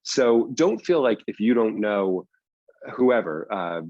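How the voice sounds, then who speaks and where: A man's voice: on the low side, very animated, slow, darkish tone, no echo, lo-fi sound. A man speaking casually as an interview guest, explaining things in loose runs with repeats for emphasis. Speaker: writer and producer, interview guest.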